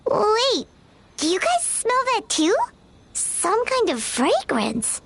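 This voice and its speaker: A woman voicing a tiny floating female companion creature in a game, with a high, chirpy, excitable, childlike way of talking. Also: takes it slowly, gravelly, full character voice. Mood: curious. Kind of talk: game voice acting. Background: game music.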